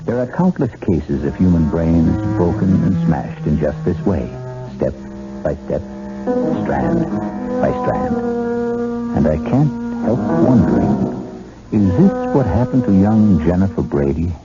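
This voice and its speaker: A man hosting and narrating a 1970s radio mystery, ominous, theatrical and deliberate. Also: sits low, huge pitch swings. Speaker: radio drama host and narrator. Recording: dull lo-fi radio, loud music. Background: loud music.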